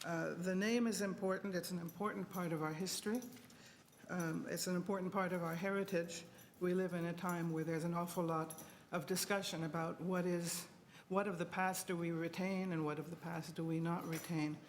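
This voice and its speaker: Measured woman with noise behind her. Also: lowish voice, steady tone. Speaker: residents' association co-president speaking at a committee. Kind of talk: public deputation. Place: indoor committee meeting room. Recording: background noise in meeting room.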